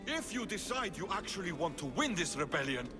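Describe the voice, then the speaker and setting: An actor playing a hot-headed, defiant Spartan warrior commander in a video game. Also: male voice, high voice, big pitch swings, a little fast, big-room sound, over music. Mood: angry.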